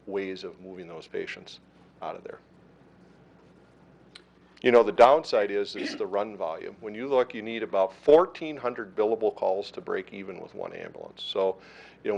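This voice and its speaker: A middle-aged man who runs an ambulance service, answering questions at a council meeting in a steady, reassuring way with frequent fillers. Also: on the low side, vocal fry.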